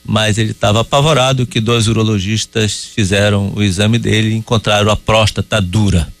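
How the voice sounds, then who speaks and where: A man answering listeners' medical questions on a radio call-in show, explaining calmly and at a measured pace. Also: lowish voice, no room sound. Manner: calm, explanatory, measured with hesitations. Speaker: urologist guest. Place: radio studio.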